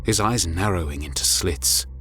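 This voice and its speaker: Very deep male dramatic narrator. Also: moderate pace, background noise. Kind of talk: dramatic scripted story narration. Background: music.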